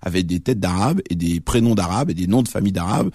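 A man in his late thirties with a very low voice, answering questions as a radio guest. He explains patiently, restarting sentences and filling pauses as he thinks. The sound is close and dry, with no room around it. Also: expressive, darkish tone, no echo. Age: late 30s. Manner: thoughtful, explanatory, frequent fillers and restarts. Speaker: guest, journalist and author. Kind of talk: radio interview.

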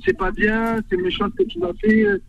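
A man's voice: very high voice, big pitch swings, a little fast, gravelly. A man, calling in to a radio show from his car, hesitant and reflective with many fillers. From Provence, France. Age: 50s. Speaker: caller. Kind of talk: radio call-in confession. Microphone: phone. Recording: tinny phone line with faint noise.

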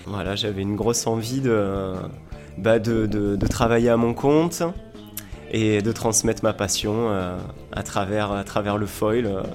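A man from southern France giving an informal interview as a sports instructor, explaining with enthusiasm and frequent pauses. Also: lowish voice, steady tone, a little mellow, soft background music. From Montpellier, southern France. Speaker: foil school owner and instructor, interview guest.

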